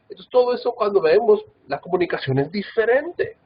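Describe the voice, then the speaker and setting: A man's voice: high-pitched, sing-song, a little fast, highs cut off, slight background noise. A man from Mexico with a Guadalajara Mexican Spanish accent, giving a recorded Bible institute lecture in an earnest manner, with many filler "ah"s and tag questions.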